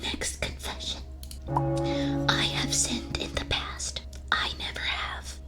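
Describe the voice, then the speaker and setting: A woman speaks in a soft whisper, right up at an ASMR microphone. She reads aloud like a streamer reacting to listeners, playful and mock-solemn in her delivery. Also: takes it slowly, over loud music. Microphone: close ASMR microphone.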